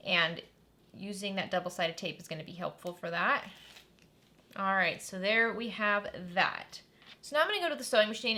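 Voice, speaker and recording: Female host, friendly craft-tutorial read: bright tone, mid pitch, chatty step-by-step explaining. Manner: chatty and upbeat, narrating while working.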